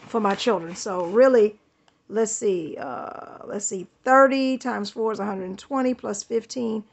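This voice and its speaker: Woman vlogger, thirties, slightly high voice, chatty and grateful, phone-filmed at home. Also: creaky. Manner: warm, thankful, chatty. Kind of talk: casual home vlog. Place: home kitchen, indoors. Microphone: handheld phone camera.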